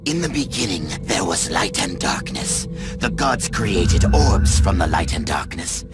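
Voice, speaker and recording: An actor playing a cocky, wily goblin assassin in a fantasy game, sly and theatrically taunting. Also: male voice, deep voice, big pitch swings, measured pace. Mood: explaining slyly. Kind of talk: fantasy game cutscene acting. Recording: loud music behind the voice.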